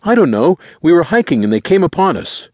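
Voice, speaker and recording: A man's voice: on the high side, very animated, fairly quick, darkish tone, no room sound, voice pushed up. A man voicing a frantic, terrified teenage boy who pleads urgently for help in a story. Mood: anxious.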